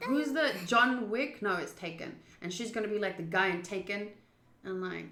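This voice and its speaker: A young woman with an expressive, chatty manner, reading a visual novel aloud and commenting as she plays. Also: mid-range voice, moderate pace, a little hiss or hum. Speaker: streamer playing a visual novel. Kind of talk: gaming commentary, reading story text aloud. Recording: roomy, echoing voice.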